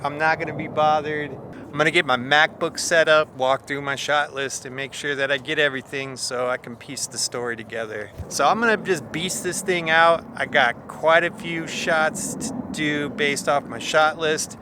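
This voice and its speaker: A man's voice: on the high side, moderate pace, noisy background. A man giving casual, off-the-cuff vlog updates to camera while outdoors at night. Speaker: video creator in a filming challenge. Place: outdoors at night near a road.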